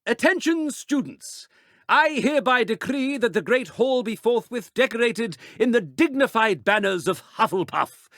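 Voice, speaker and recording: A woman's voice: fairly bright tone, no echo, studio quality, exaggerated voice. A woman hamming up a pompous decree-maker. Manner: theatrical, self-important, booming announcements. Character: pompous mock-official making grand decrees. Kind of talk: video game voice line.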